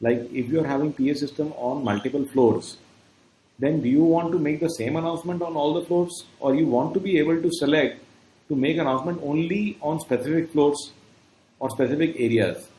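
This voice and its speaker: A man's voice: fairly high pitch, brisk, mellow. Indian-accented male trainer in an echoey room. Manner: didactic, often checking in with "okay". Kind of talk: instructional lecture, explaining step by step. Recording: roomy echo, faint background noise.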